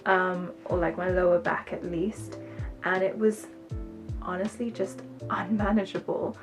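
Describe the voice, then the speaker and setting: A woman's voice: on the low side, steady tone, a little slow, music in the background. A woman with a mostly British accent touched with South African and American, chatting casually and ramblingly to camera as a beauty vlogger. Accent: mostly British with slight South African and American touches. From born in the UK, raised as a teenager in South Africa. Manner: rambling, chatty, self-conscious asides.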